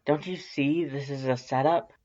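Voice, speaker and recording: A man's voice: mid-range voice, fairly even intonation, moderate pace, darkish tone, highs cut off, pitched above the performer's own voice, natural voice. A man voicing a worried human who pleads firmly and urgently in a story reading. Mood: urgent pleading. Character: worried, firm human pleading with a monster friend.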